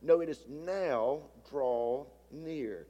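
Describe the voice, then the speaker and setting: A male pastor with a congested, sinus-thickened voice delivers a sermon in a church sanctuary. His pitch sits in the middle range for a man. He leans into a preaching cadence, often getting louder and drawing words out for emphasis, and the sound trails off in long hall reverb. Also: drawn-out words. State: stuffy from a sinus issue, voice deeper than usual.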